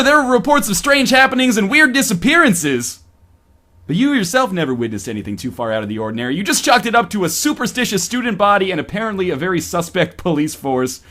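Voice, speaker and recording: A man's voice: sits high, sharp tone. A man reading a story aloud on a stream in a playful, mock-dramatic way with joking asides.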